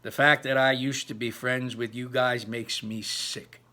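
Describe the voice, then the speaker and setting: A man voicing an indignant, defiant teenage boy in a storybook, forceful and emphatic. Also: mid-range voice, little pitch movement, unhurried. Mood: seething defiance.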